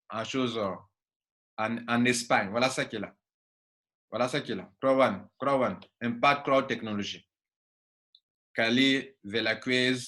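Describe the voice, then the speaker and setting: A man's voice: mid-range voice, a bit bright. A man from Ivory Coast with an Ivorian accent and Baoulé as his first language, giving an informal online training talk in an emphatic, repetitive way full of rhetorical questions. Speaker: network marketing coach.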